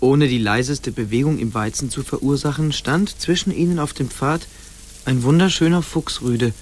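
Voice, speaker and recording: A man's voice: mid-range voice, moderate pace, close to an everyday voice. A man narrating a scripted fantasy radio play in German as its storyteller. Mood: composed, storytelling.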